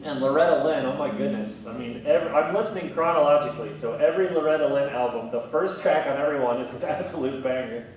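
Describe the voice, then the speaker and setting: A man in his thirties chatting casually in an interview, with a wry, gently self-deprecating way of talking. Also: fast talker, few pauses. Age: 30s. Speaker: museum communications specialist, interviewee. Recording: very echoey room, distant mic, faint rumbling noise.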